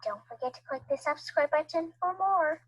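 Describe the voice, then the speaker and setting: A woman's voice: very high voice, normal speed, gravelly, muffled recording. A woman reading a rhyming children's storybook as scripted narration for young listeners. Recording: played video with music underneath. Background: music.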